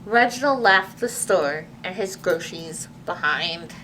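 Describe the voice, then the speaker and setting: A woman's voice: lowish voice, lively intonation, a little slow, fairly bright tone. A woman librarian hosting a children's story time, reading a picture book expressively with little asides to young listeners. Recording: distant mic with faint background noise.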